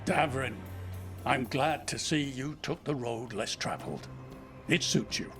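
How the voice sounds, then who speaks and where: An actor playing a cranky old elf mentor in a video game, wry, blunt and teasing. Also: male voice, mid-range voice, unhurried, music in the background, lightly acted. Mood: warm amusement. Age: older. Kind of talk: video game dialogue acting.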